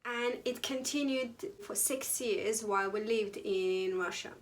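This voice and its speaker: A young woman from Azerbaijan, telling her own story to camera in a casual, playful vlog, sometimes breaking into high exclamations or mock whispers. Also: measured pace. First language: Azeri. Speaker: vlogger.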